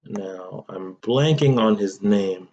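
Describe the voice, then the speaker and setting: Young male podcast host, relaxed and chatty, slow delivery, slightly muffled audio. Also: lowish voice. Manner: relaxed, conversational, asks listeners directly. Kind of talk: casual solo podcast talk.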